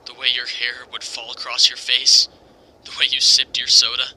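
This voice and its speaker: An actor playing a tender, grieving young male superhero in a comic dub, speaking in an earnest, halting, reflective way. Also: mid-range voice, completely flat delivery, pushed and bright, poor audio. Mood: wistful, grieving. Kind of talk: comic dub, dramatic monologue. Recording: music under the voice. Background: music.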